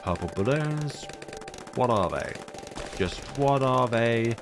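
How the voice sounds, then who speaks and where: A man with a deep voice giving casual, rambling commentary on his own gameplay, full of fillers. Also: lively intonation, slow. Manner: rambling, chatty, many fillers. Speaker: gaming YouTuber. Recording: music playing behind voice.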